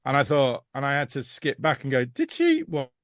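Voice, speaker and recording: A man hosting a podcast, giving an exasperated, emphatic review in casual, animated chat. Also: mid-range voice, moderate pace, no room sound, clean studio sound. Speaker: podcast host.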